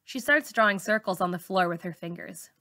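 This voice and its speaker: A woman's voice: fairly low pitch, fairly quick, sharp tone. A woman teacher reading a first-person children's novel aloud for students, as narration.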